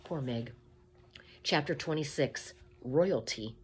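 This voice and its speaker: A woman reading a children's story aloud expressively, with deliberate emphasis, addressing the listener directly. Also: sits low, a little hiss or hum.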